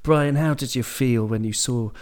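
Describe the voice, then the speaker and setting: A man's voice: medium pitch, normal speed, a little mellow. A man hosting a casual football podcast, blunt and opinionated, who swears freely. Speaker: podcast host.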